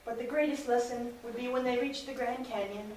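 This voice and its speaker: A woman's voice: on the high side, fairly even intonation, a little fast. A woman narrating in a mock-dramatic, theatrical storytelling style. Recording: echoey noisy room, distant mic.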